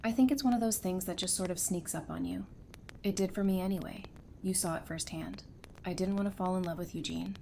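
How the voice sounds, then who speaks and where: A woman's voice: fairly quick, creaky, warm tone. A woman playing a supportive, frank, loyal friend in an audio drama, with a reassuring and candid delivery. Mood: reflective, reassuring. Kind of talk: fan audio drama dialogue. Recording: background noise with low rumble.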